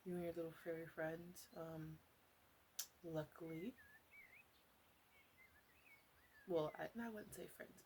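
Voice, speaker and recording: A woman playing a flirtatious, chatty witch of the woods in an ASMR roleplay, speaking in a soft, hesitant, playfully rambling way. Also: fairly low pitch, a little hiss or hum. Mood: rambling, coy. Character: flirtatious, teasing forest witch, wry and chatty.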